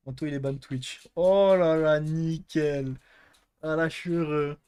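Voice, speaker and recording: A man's voice: on the high side, steady tone, unhurried, warm tone, smooth. A young man hosting a live stream, chatting casually and answering chat with crude, teasing jokes. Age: young adult.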